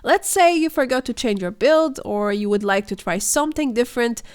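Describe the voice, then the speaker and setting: A young woman narrates gaming news over her footage. Her voice sits a little high but sounds hoarse and worn, as if she is unwell. She speaks in a measured way, explaining and speculating as she goes. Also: lively intonation, darkish tone. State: hoarse, voice weakened by illness. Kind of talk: scripted voice-over commentary.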